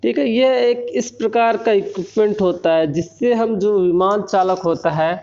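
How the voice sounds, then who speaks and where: An Indian man giving an explanatory technical lecture, often pausing to check that listeners follow. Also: high-pitched, a little mellow. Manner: frequent check-in tags while explaining. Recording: dull lo-fi recording.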